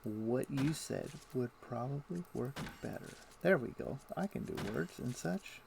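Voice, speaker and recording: A man's voice: medium pitch, expressive, measured pace, gravelly. A man casually chatting and thinking aloud while he plays a game on a live stream. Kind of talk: casual chat while playing. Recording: noisy home stream audio.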